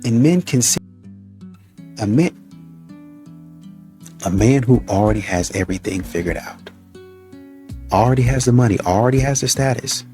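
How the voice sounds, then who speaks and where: A man hosting a reaction channel, delivering mocking, animated commentary and acting out quotes. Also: mid-range voice, normal speed, long pauses, faint music behind. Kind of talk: ranting commentary.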